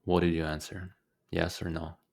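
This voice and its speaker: A man leading a guided meditation as a teacher, speaking calmly and deliberately with frequent pauses. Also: sits low, gravelly.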